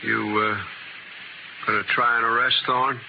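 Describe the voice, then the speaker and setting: A man's voice: medium pitch, little pitch movement, measured pace, gravelly. An actor playing a cautious middle-aged ranch foreman in a 1950s western radio drama, folksy and hesitant. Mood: grave, confiding. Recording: noisy, dull old 1950s radio recording.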